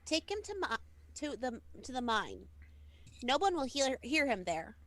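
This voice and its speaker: Casting: clingy little monster girl, creaky and bright, high, whiny, demanding, for radio play. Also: big pitch swings, measured pace, no room sound, heavily acted. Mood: commanding. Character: clingy, petulant young girl creature with eerie authority.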